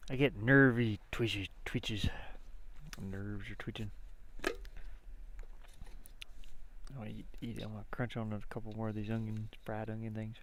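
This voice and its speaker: A man with a gravelly, fry-heavy voice talks through his game design work at an unhurried pace. He rambles casually, muttering to himself and repeating words as he goes. There is faint noise behind him. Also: lowish voice, little pitch movement, fairly bright tone. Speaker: indie game developer showing his work. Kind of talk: casual rambling screen-share commentary.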